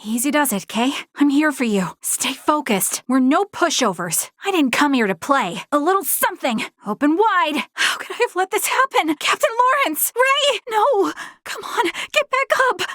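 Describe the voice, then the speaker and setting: A woman voicing an earnest, determined young female soldier in a video game, with spirited, crisp military delivery. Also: high-pitched, sing-song, moderate pace, a bit bright, no room sound, clean studio sound. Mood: panicked, anguished. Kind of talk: video game battle voice lines.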